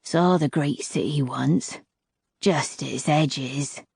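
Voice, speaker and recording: A woman voicing a wrinkled, foul-mouthed old desert crone with a deep, gravelly voice. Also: slow, darkish tone, no room sound, studio quality, full character voice. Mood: musing. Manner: swears freely, blunt.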